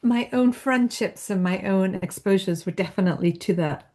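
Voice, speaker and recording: A woman's voice: mid-range voice, moderate pace, a little mellow. Thoughtful British woman novelist. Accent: British English. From Britain. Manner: thoughtful, self-deprecating, frequent restarts. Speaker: novelist guest. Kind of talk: interview conversation.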